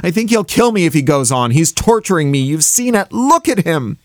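A man's voice: mid-range voice, animated, measured pace, darkish tone, dry recording, studio quality. A man voicing an exasperated, helpless boy, an older brother pleading earnestly, in an audiobook story. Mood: desperate appeal.

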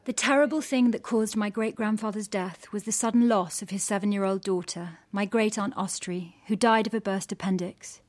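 A woman giving scripted documentary narration in a measured, gently wry storytelling manner. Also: mid-range voice.

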